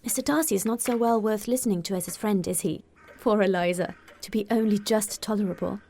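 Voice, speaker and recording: A woman voicing a sensible, composed young woman in an audiobook, speaking in a measured, dryly candid way. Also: mid-range voice, dark tone, a little hiss or hum, lightly acted. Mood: teasing. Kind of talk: audiobook character voice.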